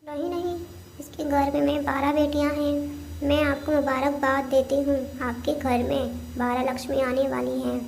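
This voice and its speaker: A woman's voice: high-pitched, little pitch movement, normal speed, gravelly, a little mellow, slight background noise. A woman voicing an anxious, principled bird doctor in a cartoon story, speaking with earnest protest. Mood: reluctant. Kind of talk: Hindi cartoon story voice acting.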